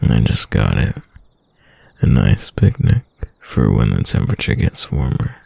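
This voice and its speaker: A man acts out a devoted boyfriend murmuring to a sleeping lover in roleplay ASMR. His very low voice is breathy and husky, drifting slowly through tender, musing thoughts. It sits close and dry, intimate and soft. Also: wildly swooping pitch, dry recording, heavily acted. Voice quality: deep, gravelly, breathy.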